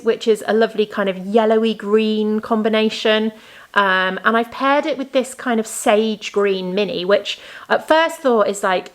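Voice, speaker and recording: Chatty woman, voice going slightly hoarse. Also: fairly high pitch, fairly bright tone. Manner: chatty, enthusiastic, rambling. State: slightly losing her voice. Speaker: crafting podcast host and yarn dyer. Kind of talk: casual show-and-tell chat.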